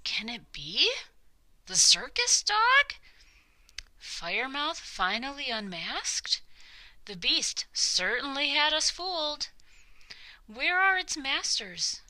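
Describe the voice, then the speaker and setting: A woman's voice: sits high, very animated, slow, long pauses, sharp tone, voice pushed up, exaggerated voice, low-quality recording. A woman voicing alarmed, indignant townspeople in a children's storybook, exclaiming in agitation. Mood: shocked. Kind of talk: children's storybook character reading.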